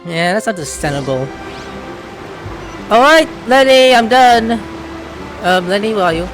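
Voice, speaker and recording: Animated, chatty game streamer. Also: mid-range voice, unhurried, faint music behind. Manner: chatty, muttering to the game and characters. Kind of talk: casual gameplay commentary.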